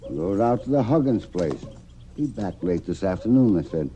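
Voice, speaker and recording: A muffled old radio western gives us a kindly frontier stableman. His voice is lowish and very mellow, with a folksy rural American Western drawl. He sounds concerned and inquisitive. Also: expressive, normal speed. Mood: concerned, puzzled. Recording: dull, faintly noisy old radio.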